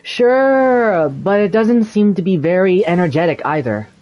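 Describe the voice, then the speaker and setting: An actor voicing a young, calm, skeptical traveler in a video game, measured and doubting. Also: medium pitch, natural voice.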